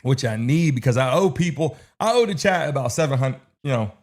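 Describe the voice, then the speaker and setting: A man's voice: mid-range voice, animated, brisk, darkish tone. A man hosting a sports livestream, chatting casually with viewers in slang, cursing and repeating his phrases.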